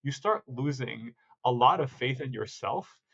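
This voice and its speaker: Founder guest, male, mid pitch, reflective and halting, dull lo-fi audio. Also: lively intonation, creaky, a bit bright, husky. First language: not stated. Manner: reflective, many restarts and self-corrections. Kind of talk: conversational interview answers.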